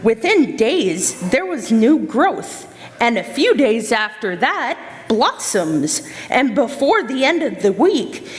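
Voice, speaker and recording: A woman's voice: on the high side, very animated, slow pace. A woman narrating a scripted story for a readers theater stage play. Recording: reverberant hall.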